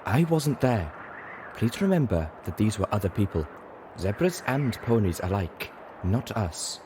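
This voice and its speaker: A man's voice: on the low side, sing-song, unhurried, background noise. A man voicing a weary, reasonable zebra mare, earnest and sad, with careful formal phrasing. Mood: pleading.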